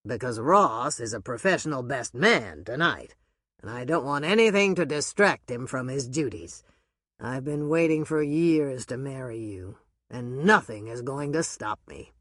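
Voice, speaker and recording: A woman voicing a calm, devoted groom who speaks steadily. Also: mid-range voice, measured pace, dry recording, studio quality, pitched below the performer's own voice. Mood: earnest.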